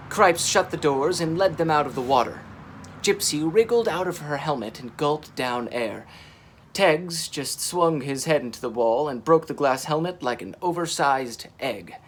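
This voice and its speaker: A man's voice: on the high side, slight background noise. A man, narrating a children's storybook expressively. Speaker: story reader. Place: covered wooden porch outdoors.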